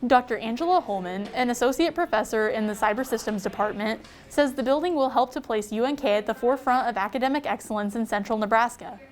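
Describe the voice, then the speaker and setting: A woman's voice: on the high side, fast talker, hardly any pauses. A young woman reading scripted news as a reporter.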